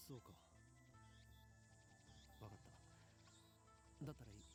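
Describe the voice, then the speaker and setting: An actor voicing a pompous, eccentric young self-styled scientist in an anime game, with grandiose, theatrical declarations. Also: male voice, fairly low pitch, normal speed, over music, natural voice. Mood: serious.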